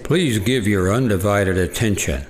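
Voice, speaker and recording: A man's voice: on the low side, a little slow, close to an everyday voice. A man playing a stern judge in an audio drama, speaking with formal authority. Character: stern, official male courtroom judge. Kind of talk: audio drama acting, court procedure. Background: music.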